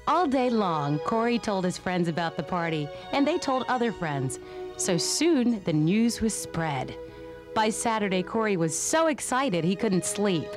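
A woman's voice: medium pitch, sing-song, measured pace, over music. A woman reading scripted narration for a children's storybook. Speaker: storybook reader.

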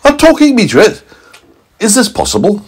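A man's voice: mid-range voice, very animated, moderate pace, noisy background, pitched above the performer's own voice, natural voice. A man voicing an astonished, flustered male scientist in a bedtime story. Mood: amazed. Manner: amazed and flustered.

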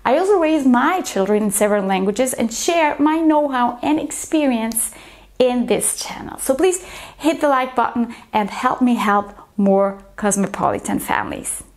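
A woman explains ideas to camera in a slightly high voice. She talks earnestly and step by step, stumbling into restarts when she tells a story. The sound is close and clean from a lapel mic in a small living room. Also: expressive, moderate pace, a little mellow. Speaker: teacher and channel host. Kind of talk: educational talk to camera.

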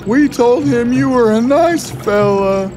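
A big, childlike, dim-witted cartoon giant, acted out by a man. He talks slowly and simply in a high voice that swings up and down, over faint music. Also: animated, full character voice. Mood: simple. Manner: simple, earnest, drawn-out. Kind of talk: animated fairy tale dialogue.

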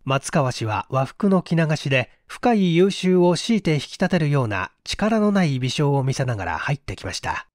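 A man narrating a mystery story in a measured, deliberate storytelling manner. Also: a little high, big pitch swings, no room sound, clean studio sound. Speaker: audiobook narrator.

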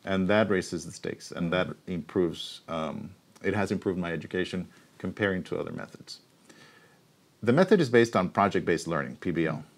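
A man in his forties answering interview questions in a studio, thoughtful and explanatory, with frequent pauses and restarts, recorded on a lapel mic. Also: low voice, animated. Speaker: university researcher, keynote guest. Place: TV studio set.

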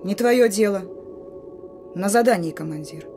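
Actress doing a bossy young partisan girl on guard, gruff and wary, radio drama w some music. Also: mid-range voice, measured pace, warm tone, close to an everyday voice. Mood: stern, bossy. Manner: brusque, rustic colloquial commands. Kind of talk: Russian radio play acting.

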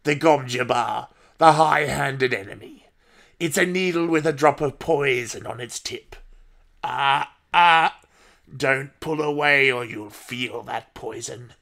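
A man's voice: medium pitch, vocal fry, a bit bright. A man voicing an imperious old woman who taunts and threatens with cold control in an audiobook story. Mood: menacing. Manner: commanding, taunting, deliberate.

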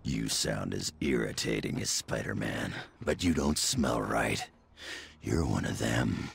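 An actor playing a gruff, suspicious clawed mutant man with a gravelly, growling voice in a video game cutscene. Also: very deep voice, measured pace, exaggerated voice. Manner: growling, blunt. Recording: game audio under loud music.

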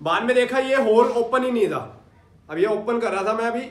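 A young man in his twenties with a fairly high voice talks casually into a phone held at arm's length, vlogging his day. He chats on easily, his throat a little sore. It sounds like a small room at home. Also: lively intonation, fairly quick. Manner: chatty, narrating his day to the camera. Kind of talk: casual vlog chat.

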